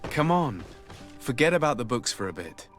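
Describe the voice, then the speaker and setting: Polite composed young envoy guy done by a male actor, video game hero, music clearly playing behind him. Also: medium pitch, sing-song, normal speed, close to an everyday voice. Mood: tender. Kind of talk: video game dialogue acting.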